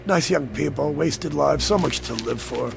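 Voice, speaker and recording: A man's voice: on the high side, normal speed, gravelly, background noise. An older man acting in a radio drama as a sly, gleeful devil posing as a crazy old man, with a wheedling, mock-polite manner. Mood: mock innocence. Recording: music under the voice.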